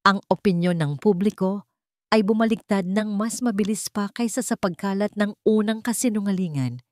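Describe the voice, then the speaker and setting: A woman's voice: medium pitch, moderate pace, darkish tone, no echo, studio quality. A woman narrating a scripted story in a dramatic storytelling style.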